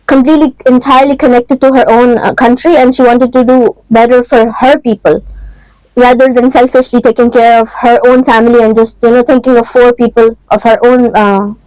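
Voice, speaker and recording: Woman guest, clear dry voice, hesitant and reflective. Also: fairly high pitch, level tone, a little fast, a little mellow, no echo, low-quality recording. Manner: thoughtful, hesitant with many ums. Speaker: podcast guest. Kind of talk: casual book discussion.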